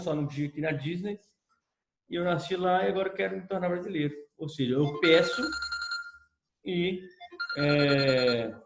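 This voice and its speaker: A man with a Brazilian Portuguese accent giving a recorded law lecture, explaining step by step and checking in with his students. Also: fairly high pitch. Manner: explanatory, repeats points with "tá?" check-ins. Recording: echoey room.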